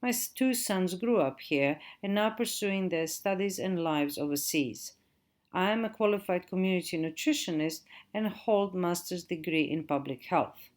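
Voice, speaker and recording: Croatian-accented woman giving a lecture. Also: on the low side. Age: middle-aged. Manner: measured, spelling out web addresses. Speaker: digital marketing trainer. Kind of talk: recorded lecture. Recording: audio-only recording.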